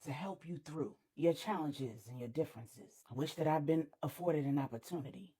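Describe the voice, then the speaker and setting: A woman voicing a solemn, resolute middle-aged man, a dangerous father speaking slowly and gravely in an audio story. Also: low-pitched, dark tone, pitched below the performer's own voice. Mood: solemn regret. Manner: slow, grave, measured.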